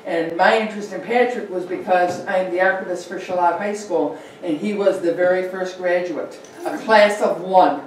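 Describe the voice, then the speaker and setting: Lady doing a slideshow talk, rambly with ums, far off in a boomy room. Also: moderate pace, few pauses, a little hiss or hum. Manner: chatty, loose, with fillers. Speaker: local history presenter. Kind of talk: slide-show history talk. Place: meeting room with projector. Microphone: far room mic. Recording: very echoey room, distant mic.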